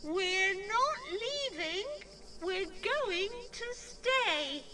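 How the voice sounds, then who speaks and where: An actress playing a commanding woman calling out in drawn-out words in an audio drama. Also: very high-pitched, animated, bright, forceful tone, exaggerated voice. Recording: distant call across noisy outdoors.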